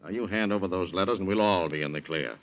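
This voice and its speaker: A man's voice: deep voice, fast talker. A smug, bullying American racketeer in a vintage radio crime play. He taunts in tough slang, with a sneer and total confidence. The recording is dull and lo-fi, like an old broadcast. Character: cocky scheming crook boss, bullying and sneering. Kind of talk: old-time radio crime drama acting.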